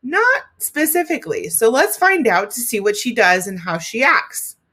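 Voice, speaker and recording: A woman teacher reading a story aloud to children, expressively, pausing to explain it and talk directly to young listeners. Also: fairly high pitch, sing-song, bright, forceful tone, slightly rough.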